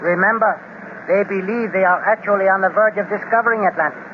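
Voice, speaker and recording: A servile henchman, played by a man, answers his master in a stiff, formal, high voice. It is a 1930s radio serial. The sound is thin and phone-like, with faint hiss behind it. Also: fast, close to an everyday voice. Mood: deferential. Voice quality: stiff, formal, deferential speech. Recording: tinny, hissy old radio transcription.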